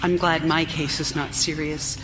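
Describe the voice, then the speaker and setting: A woman's voice: deep voice, flat delivery, a little slow, music in the background, poor audio. A woman playing an anxious, meek young wife in live period radio theater, with fretful, formal old-fashioned diction. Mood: confiding, melancholy. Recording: live theater recording, clear background noise.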